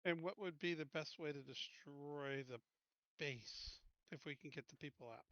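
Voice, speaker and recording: A man's voice: fairly high pitch, moderate pace, a bit bright, no room sound, dull audio. A man talking casually as a player in a tabletop roleplaying game, putting practical questions to the table.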